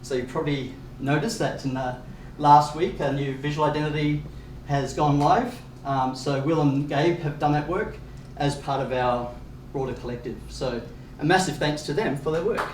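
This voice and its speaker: A man hosting a meetup, giving upbeat announcements with many fillers. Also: fairly high pitch. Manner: upbeat, many fillers, quick asides with chuckles. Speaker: meetup organiser and host. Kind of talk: event welcome and announcements. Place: event space. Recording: echoey room from far off with faint noise.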